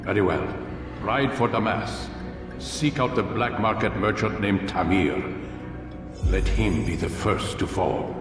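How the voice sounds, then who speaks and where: An actor playing a stern, solemn aging master of an assassin brotherhood in a video game cutscene, with a measured, commanding, fatherly and grandiose manner. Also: fairly low pitch, recorded from a distance, natural voice. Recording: echoey hall, music behind.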